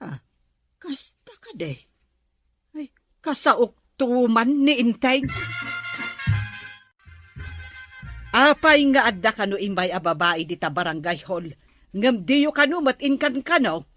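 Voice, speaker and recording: Woman doing a bossy nosy village lady in a comedy drama, highish lively voice, real dry recording. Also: a little slow, no echo, faint music behind. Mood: curious. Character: bossy, nagging village woman scolding the captain. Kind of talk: Ilocano comedy audio drama.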